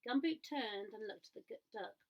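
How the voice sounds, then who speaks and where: A woman reading a picture book aloud to children in an expressive way, pausing to ask her listeners questions. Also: a little high, lo-fi sound. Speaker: storyteller.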